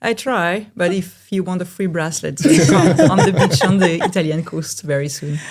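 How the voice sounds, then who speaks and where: French woman, bit lower voice, playful and giggly, chatting as a guest. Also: normal speed, darkish tone. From France. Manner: playful, laughing, non-native phrasing. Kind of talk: casual interview chat.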